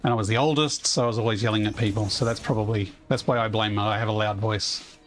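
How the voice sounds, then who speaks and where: A man with a loud voice chatting casually on a live game stream, rambling as he plays and reading chat aloud. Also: lowish voice, fairly even intonation, fast, faint music behind.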